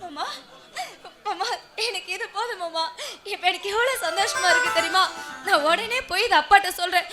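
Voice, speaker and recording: A woman's voice: very high voice, moderate pace, over music. A woman playing a distraught, self-sacrificing and stubborn wife in an emotional, pleading melodrama. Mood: relieved, eager. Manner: emotional, pleading, often tearful. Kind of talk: melodramatic Tamil drama acting.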